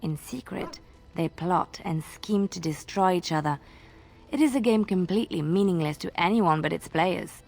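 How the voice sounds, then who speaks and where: A woman playing a guarded, wistful minstrel in a video game, with a gentle storytelling manner. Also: lowish voice, lively intonation, moderate pace, vocal fry. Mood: guarded, explanatory. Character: wistful, guarded young woman minstrel, former spy turned devout. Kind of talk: video game dialogue acting.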